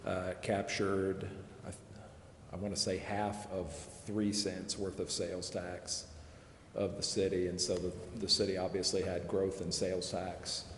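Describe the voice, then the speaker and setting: A man advising a city committee, explaining figures in a measured way and pausing to recall details. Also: low voice, level tone, fairly bright tone. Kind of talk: explaining figures at a public meeting. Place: city council chamber. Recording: echoey chamber, long reverb, faint hiss.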